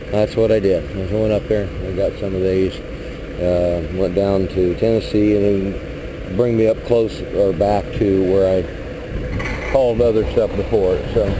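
A man, a truck driver, talking casually and rambling as he walks around his flatbed load outdoors, recorded on a body-worn camera. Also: on the low side, measured pace, background noise. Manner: rambling, plain-spoken, mild cursing. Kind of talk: casual walkaround vlog. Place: outdoors at a truck lot beside a flatbed trailer. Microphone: body-worn action camera.

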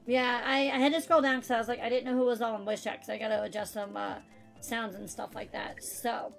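A woman's voice: fairly high pitch, little pitch movement, fairly quick, vocal fry, faint music behind. A woman in her thirties chatting casually with friends while streaming a game, filling her talk with "like". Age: 30s. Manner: chatty, fills speech with "like". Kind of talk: casual chat while gaming.

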